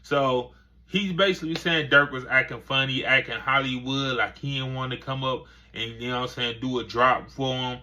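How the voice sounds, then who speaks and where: A man's voice: mid-range voice, fairly even intonation, moderate pace, pushed and bright. A man hosting a casual commentary video, rambling with lots of filler phrases. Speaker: commentary channel host.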